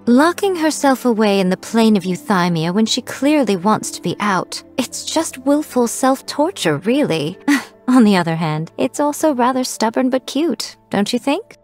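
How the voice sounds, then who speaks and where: A woman voicing a sly, playful and haughty fox shrine maiden for a video game, with a teasing, coy, refined and deliberate delivery. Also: a little high, very animated, darkish tone. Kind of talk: scripted video game character voice lines. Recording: voice lines over background music. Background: music.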